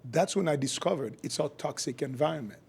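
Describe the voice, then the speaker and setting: A man's voice: on the high side, brisk, vocal fry, darkish tone, slightly rough. A middle-aged man from Haiti, a physician guest explaining his work and views in a podcast interview. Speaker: guest, functional medicine physician.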